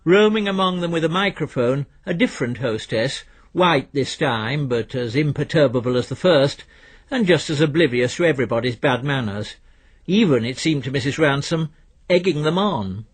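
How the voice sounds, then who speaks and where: A man reading literary fiction as a narrator, in a measured, precise and dry storytelling manner. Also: on the high side, animated, breathy edge. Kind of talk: scripted literary audiobook narration.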